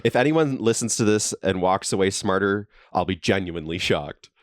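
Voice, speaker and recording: A man's voice: sits low, expressive, moderate pace, no echo, studio quality. A young man co-hosting a podcast, reading a comedy script aloud and laughing often as he goes. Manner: laughs often, jokey delivery. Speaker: podcast co-host. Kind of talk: comedic read-through of a script. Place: friend's basement.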